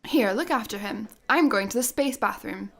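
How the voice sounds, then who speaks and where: An actress playing a keen, chirpy young space traveller in a radio sitcom, eager and coaxing. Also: female voice, mid-range voice, moderate pace, fairly bright tone. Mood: breezy.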